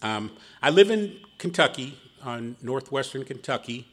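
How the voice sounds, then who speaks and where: A man's voice: medium pitch, lively intonation, a little slow. A middle-aged man from Philadelphia speaks with the accent of that city, telling his recovery story to a room of listeners. His talk is folksy and self-deprecating, and he chuckles at his own jokes. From Philadelphia, Pennsylvania. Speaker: guest speaker at a recovery meeting. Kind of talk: personal testimony speech. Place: meeting room before an audience.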